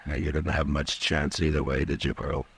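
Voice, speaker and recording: An actor playing a stern, weary US marshal in an old-time radio western, terse and grave. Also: male voice, a bass voice, flat delivery, normal speed, creaky, a bit bright, breathy edge, full character voice. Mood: grave, pressing. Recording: old radio drama with music.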